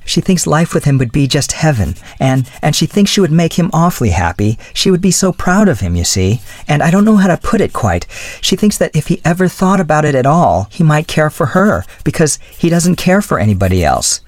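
Man voicing an earnest, high-pitched, flustered young woman. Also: very animated, dry recording. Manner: hesitant, halting and gushing. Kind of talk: storybook dialogue.

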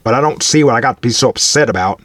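A man voicing a furtive, mean petty thief who talks in a surly, defensive way with rough grammar. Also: medium pitch, very fast, a bit bright, no room sound, close to an everyday voice. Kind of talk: storybook character voice.

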